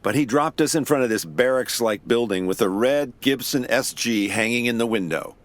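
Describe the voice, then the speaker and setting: A man's voice: mid-range voice, moderate pace, a little hiss or hum, lightly acted. An actor playing a weary, wry investigator who narrates his own case in an audio drama. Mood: reminiscing. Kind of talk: first-person noir-style audio drama narration.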